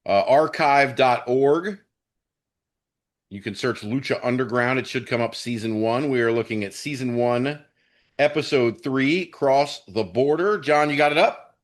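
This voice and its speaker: A man's voice: medium pitch, a little slow. A man co-hosting a wrestling podcast in casual, chummy chat with some mild swearing. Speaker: podcast co-host.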